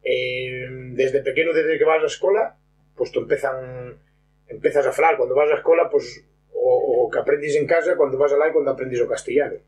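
A man's voice: mid-range voice, fairly even intonation, brisk, darkish tone, highs cut off. Middle-aged man, background hum. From San Martín de Trevejo, Extremadura, Spain. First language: Fala. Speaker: interviewee. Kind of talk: informal interview, explaining. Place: rustic stone-walled room, indoors. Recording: faint room hum and noise.

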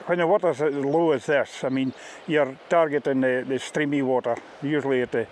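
Middle-aged Scottish male ghillie, unpolished and halting, explaining informally outdoors over light ambient noise. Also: mid-range voice, steady tone, a little mellow. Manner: halting, plain-spoken. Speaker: fishing ghillie on a river beat. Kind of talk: informal interview-style explanation of a fishing beat. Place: outdoors on a riverbank.

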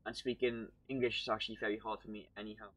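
A man's voice: fairly low pitch, flat delivery, fast, fairly bright tone, no echo, poor audio. A young man with a Welsh accent, rambling casually to his chat while streaming a game from home on a headset. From Wales. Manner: rambling, chatty, talks to chat while playing. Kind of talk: casual live-stream commentary. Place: home room, indoors.

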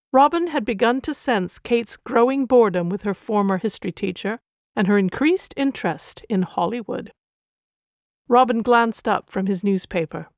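A woman's voice: on the high side, dry recording, dull audio. A woman reading her own novel as scripted, audiobook-style narration.

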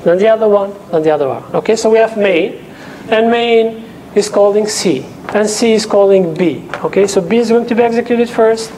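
A man's voice: high-pitched, moderate pace, hardly any pauses, a little mellow, slight background noise, rough recording. A young man teaching a class in a lecture room, speaking English as a second language and stopping often to check that students follow. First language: not English. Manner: explains step by step, checks in often with "okay?". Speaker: teaching assistant. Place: lecture room with a projector and whiteboard. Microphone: lapel mic.

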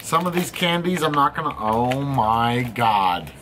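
A man hosts a casual candy-tasting chat in a studio room. He talks in an animated way, tells stories and swears now and then. His voice sits in a mid range over a faint background hum. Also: medium pitch, unhurried. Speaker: host. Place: studio room with equipment racks.

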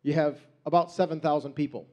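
A man's voice: on the high side, steady tone, fast, darkish tone, lo-fi sound. Storytelling missionary man at a church stage. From Western New York, USA. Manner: conversational storytelling, self-deprecating asides, gestures while talking. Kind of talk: personal testimony sermon. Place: church stage with drum kit, indoors. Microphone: handheld microphone.

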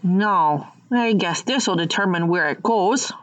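A woman's voice: fairly low pitch, lively intonation, takes it slowly. A woman playing a courteous, hesitant small-town policewoman. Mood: polite, tentative.

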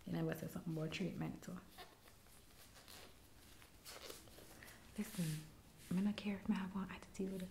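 A woman in her thirties with a Jamaican accent, voicing a rude, impatient barber in a soft-spoken ASMR roleplay, recorded close to a binaural mic in a small room. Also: fairly low pitch, steady tone, quick pace, gravelly, slight background noise. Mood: curt. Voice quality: soft-spoken, often whispering. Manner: curt and scolding. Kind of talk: ASMR personal attention roleplay. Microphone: close binaural mic, leaning toward the camera.

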